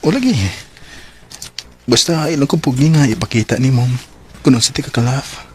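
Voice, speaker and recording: An actor with a Cebuano Filipino accent playing an eager, easily hurt young suitor in a radio drama, earnest and emotional. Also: male voice, mid-range voice, lively intonation, fairly quick, slightly rough. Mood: tender, eager. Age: young adult.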